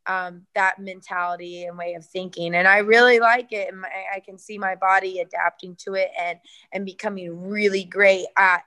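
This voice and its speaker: A woman's voice: on the low side, bright, forceful tone, clean tone. A young adult woman fighter, answering interview questions earnestly, with many fillers and restarts. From United States.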